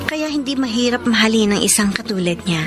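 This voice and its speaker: A modest young housemaid in a radio romance played by an actress, with a fairly high voice. She speaks deliberately and sincerely, full of gratitude, with clear music underneath. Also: expressive, natural voice. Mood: warm, reflective. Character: modest, grateful young housemaid and student, gentle-hearted. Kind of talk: radio drama acting, letter narration.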